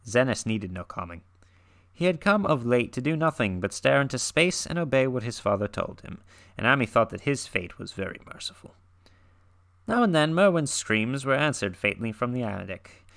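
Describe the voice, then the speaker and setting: A man with a somewhat low voice reads a classic horror tale aloud, leaning into the drama. He swells and hushes his delivery for suspense and corrects the odd stumbled word as he goes. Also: vocal fry. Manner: theatrical, shifting loudness and pace for suspense; occasional stumbles and self-corrections. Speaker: reader of a horror story. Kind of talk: dramatic read-through of classic horror fiction.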